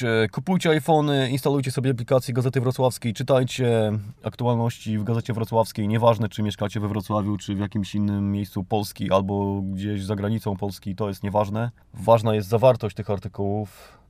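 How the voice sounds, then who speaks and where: A man's voice: fairly low pitch, a little mellow, no echo. A Polish man hosting a podcast, delivering a tongue-in-cheek monologue in a mock-earnest, exhorting manner. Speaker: podcast host.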